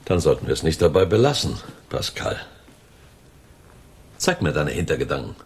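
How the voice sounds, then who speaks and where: Very deep, curt suspicious gangster. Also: male voice, very animated, moderate pace, gravelly, a little mellow, slightly rough, heavily acted. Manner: curt, challenging. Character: impatient, suspicious male gangster organizer. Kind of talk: German radio crime drama acting.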